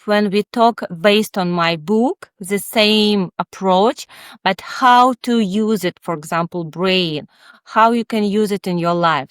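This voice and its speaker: A woman, not an English speaker, answering interview questions in a halting, candid, thoughtful way with many pauses. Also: fairly low pitch, a bit bright, clear, resonant tone, dry recording, studio quality. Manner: candid, reflective. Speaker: guest author, child psychotherapist.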